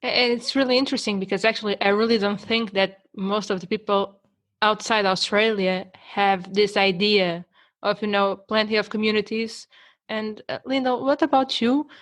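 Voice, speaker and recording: A woman's voice: mid-range voice, fairly even intonation, normal speed, fairly bright tone. A woman hosting a podcast, asking her guests questions in a conversational way. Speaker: podcast host. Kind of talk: interviewing guests.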